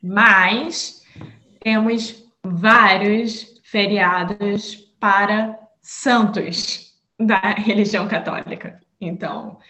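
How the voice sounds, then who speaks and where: Slow-speaking woman teacher, echoey room. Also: mid-range voice, fairly even intonation, sharp tone. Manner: friendly, explanatory, checks in with learners. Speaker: Portuguese teacher. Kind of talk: explaining a lesson plan.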